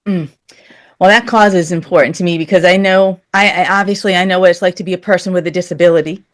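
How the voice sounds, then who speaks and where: A woman's voice: lowish voice, fairly even intonation, fast talker. A woman speaking earnestly and candidly as an interview guest, in a conversational style.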